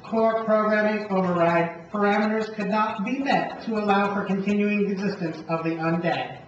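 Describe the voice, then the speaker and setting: A man's voice: high-pitched, fairly even intonation, normal speed, slight background noise, natural voice. A man voicing a polite, literal-minded robot in an amateur puppet show, speaking in a stiff, formal, wordy way. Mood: flat refusal, logical. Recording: very echoey, distant, muffled room.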